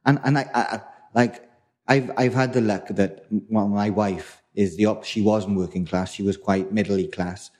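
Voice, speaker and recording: A man's voice: lowish voice, steady tone, moderate pace, darkish tone. A middle-aged man from South Wales co-hosting a casual podcast chat, rambling and restarting as he hunts for words. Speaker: podcast co-host.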